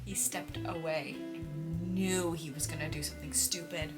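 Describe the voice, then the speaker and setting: A woman's voice: sits low, steady tone, normal speed, over loud music. A young adult woman chatting casually as a book vlogger, dramatic and slangy, speaking with emotive exaggeration. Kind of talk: casual reading vlog, confiding chat to camera. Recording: noticeable background noise.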